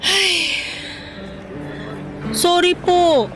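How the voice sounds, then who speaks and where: Dalagang Pilipina, napakatinis ng boses. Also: female voice, extremely high pitch, extremely slow, heavily acted. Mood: apologetic, pleading. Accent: Filipino. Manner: earnest, pleading, polite yet frank. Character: caring, outspoken young Filipina daughter, respectful but frank. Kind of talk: Tagalog radio drama acting. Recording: music under the voice.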